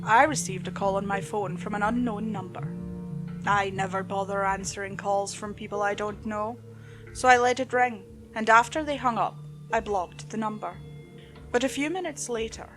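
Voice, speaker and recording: Woman narrating horror stories over music. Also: mid-range voice. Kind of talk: scripted creepypasta narration.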